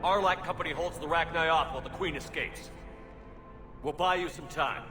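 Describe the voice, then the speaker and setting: Casting: man as game hero officer, commanding and clipped, fairly light pitch, over a score. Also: fairly quick, music in the background, lightly acted. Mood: urgent, commanding. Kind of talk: video game voice acting.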